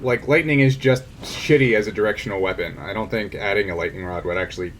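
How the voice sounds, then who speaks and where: A man's voice: lowish voice, quick pace. A man acting as game master, improvising narration and rulings in a casual, hesitant way as he thinks aloud. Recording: noisy, rain ambience behind.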